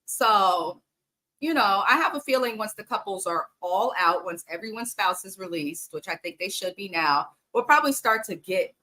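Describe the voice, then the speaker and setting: A woman's voice: medium pitch, animated, moderate pace, sharp tone, low-quality recording. A woman hosting a casual, frank reality TV recap, chatting straight to her viewers and sniffly from allergies. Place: home in the Bay Area.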